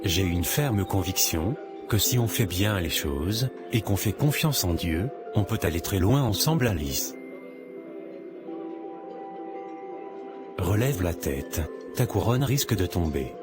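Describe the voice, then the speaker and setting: A man's voice: low voice, lively intonation, unhurried, over music. A man voicing a devout, steadfast young suitor who speaks earnestly in a cartoon. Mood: tender, assured.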